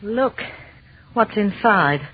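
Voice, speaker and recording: An actress playing a gentle, fearful wife of a professor, speaking with hesitant, dramatic dread in a 1930s radio horror play. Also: female voice, medium pitch, lively intonation, drawn-out words, husky, heavily acted. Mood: horrified. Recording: noisy, tinny 1930s radio recording.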